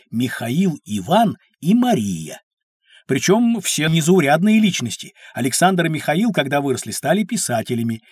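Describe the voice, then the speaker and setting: Знающего дяди с хрипловатым голосом. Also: male voice, on the high side, a little mellow, husky, no echo, clean studio sound. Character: kindly, knowledgeable uncle explaining history to children. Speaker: storyteller guide for children. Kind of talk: scripted educational narration.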